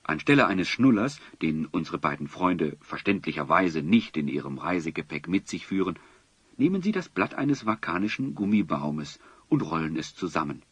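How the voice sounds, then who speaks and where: A man narrating a German audio drama in an elaborate, literary and gently ironic storyteller's manner. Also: deep voice, big pitch swings, normal speed, husky, no room sound, full character voice. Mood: wry. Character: measured storytelling male narrator. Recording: dull lo-fi tape, music behind.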